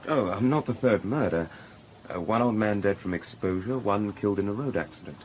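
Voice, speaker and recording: An actor plays a candid British hospital doctor in a radio drama. He is a man with a fairly low voice who talks plainly and conversationally, with faint ambience behind him. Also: animated, fairly quick, a little mellow, slight background noise, close to an everyday voice. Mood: matter-of-fact. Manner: matter-of-fact, conversational. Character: brisk, candid male hospital casualty doctor. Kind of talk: naturalistic radio drama acting.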